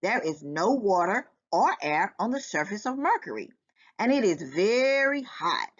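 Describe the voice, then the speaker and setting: Slow, expressive woman reading aloud, slightly dull recording. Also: medium pitch. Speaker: volunteer children's book reader. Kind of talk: children's read-aloud of a nonfiction book. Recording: dull, lo-fi sound.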